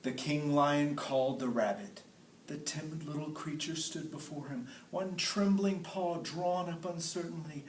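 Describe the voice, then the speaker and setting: A man's voice: on the high side, a little slow. A man teaching a class, reading a story aloud expressively and putting encouraging questions to the listeners. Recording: roomy classroom, distant mic, faint noise.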